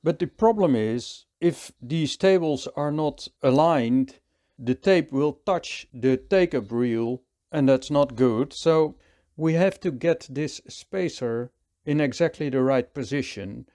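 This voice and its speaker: A man with a Dutch accent, calmly thinking aloud as he works through a hands-on repair tutorial. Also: medium pitch, takes it slowly. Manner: calm, thinking aloud while working. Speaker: repair technician.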